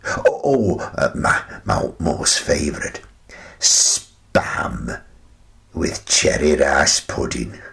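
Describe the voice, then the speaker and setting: A gentle, eccentric older British man, played by an actor, speaks in a deep voice at a very unhurried pace. He rambles wistfully through a radio play monologue, pausing and hesitating as he reflects. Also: big pitch swings, vocal fry, exaggerated voice. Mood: playful. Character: gentle, wistful, eccentric old grandfather living in a playhouse.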